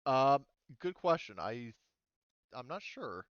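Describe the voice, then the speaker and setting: A man's voice: mid-range voice, big pitch swings, slow pace, dry recording, lo-fi sound. A man running a tabletop roleplaying game as game master, explaining rules and action casually with some swearing.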